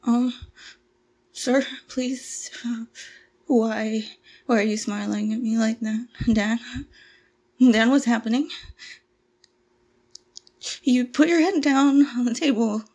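A woman acting out a frightened young captive woman who stammers and pleads in a halting way. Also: on the high side, a little mellow. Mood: anxious, pleading. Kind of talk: amateur audio drama acting.

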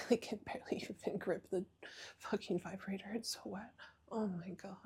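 A woman whispering an intimate roleplay monologue close to the mic, breathless and pleading, with soft moans between words. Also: medium pitch, steady tone, unhurried, husky. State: aroused and breathless. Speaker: audio roleplay performer.

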